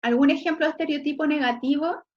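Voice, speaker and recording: A woman's voice: sits high, fast talker, dull audio. A Chilean woman teaching an online class, checking in with her students often and praising their examples. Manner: frequent checking "¿ya?" tags, encouraging.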